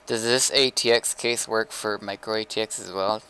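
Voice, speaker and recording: A man chatting casually while gaming, low-key and informal, sometimes half talking to himself. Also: fairly even intonation, moderate pace, sharp tone, smooth. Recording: faintly noisy gaming mic.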